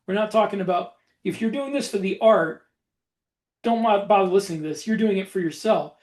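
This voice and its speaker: A man's voice: sits high, fairly quick, darkish tone. A man co-hosting a podcast in casual chat, with a blunt, matter-of-fact way of talking. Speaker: podcast co-host and author.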